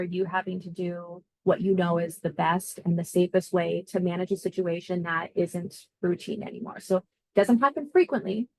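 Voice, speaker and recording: A woman's voice: lowish voice, creaky, a little mellow, no room sound, low-quality recording. A woman being interviewed as an air traffic controller, explaining her job conversationally and thinking aloud as she goes. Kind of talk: informal career interview answers.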